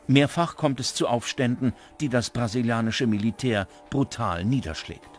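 Male narrator, scripted documentary delivery, medium pitch, clear music bed. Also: moderate pace. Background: background music.

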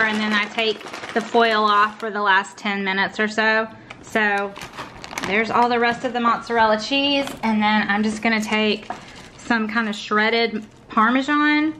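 A chatty woman in her thirties talks viewers through a recipe in her home kitchen, with a bright, forceful tone and a voice that sits a little high. She keeps calling her audience y'all, and her voice is picked up by the camera. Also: a little hiss or hum. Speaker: cooking vlogger. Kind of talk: casual cook-along narration.

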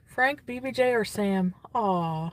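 A woman's voice: medium pitch, lively intonation, takes it slowly. A young woman hosting a casual livestream from inside a parked car at night, chatty and giggly, reading out viewer comments. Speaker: livestream host. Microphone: phone on a mount in the car.

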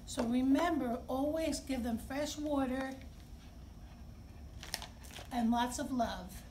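A middle-aged woman hosting story time, speaking to young children in simple, expressive phrases. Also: high-pitched, takes it slowly. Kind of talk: read-aloud and talk for young children. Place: indoor library children's room. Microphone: camera a few feet away. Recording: distant camera mic, clear background noise.

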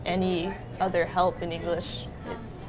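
A woman in her twenties, a former exchange student, talking in a relaxed, chatty way. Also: on the low side, measured pace. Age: 20s. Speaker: former study abroad student. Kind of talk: casual interview talk. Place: small shop, indoors.

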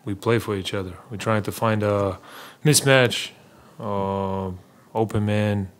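Man, 30s, low monotone, halting speech, many fillers, postgame press conference, table mic. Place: small press room. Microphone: table mic in front.

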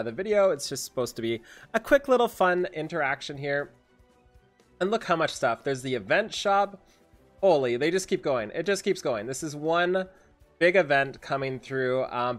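A young man with a slightly high voice talks casually as a gaming video host. He gushes in an excitable, exaggerated way and likes to repeat himself for emphasis. Music plays behind him. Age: young adult. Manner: excitable, repetitive, hyperbolic. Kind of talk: casual game update commentary.

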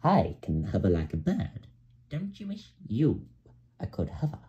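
Stuck-up storybook helicopter, male, played by a man, unhurried, dismissive and boastful, mellow tone. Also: medium pitch, wildly swooping pitch, dull audio. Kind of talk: children's storybook character voice.

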